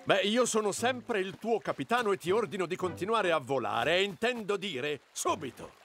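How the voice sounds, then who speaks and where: A man's voice: sits high, animated, moderate pace, music in the background. A man voicing a bossy, self-important captain in a cartoon, with a commanding way of talking. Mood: imperious.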